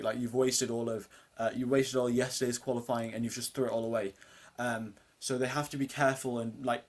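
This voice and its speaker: Deep-voiced teenage boy rambling on a live stream. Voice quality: deep voice for his age. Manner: rambling, full of ums and uhs, answers chat questions. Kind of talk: casual live watchalong commentary.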